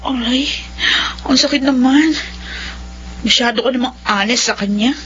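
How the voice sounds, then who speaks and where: Actress as a high-pitched, coy, scheming young woman. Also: moderate pace, slight background noise, close to an everyday voice. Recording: muffled lo-fi drama audio with music.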